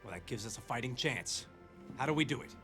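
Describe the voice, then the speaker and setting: An actor playing a blunt, excitable, exasperated soldier in a war game cutscene. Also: male voice, medium pitch, fairly quick, soft background music, lightly acted. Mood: eager. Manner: blunt, agitated. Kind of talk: video game cutscene dialogue.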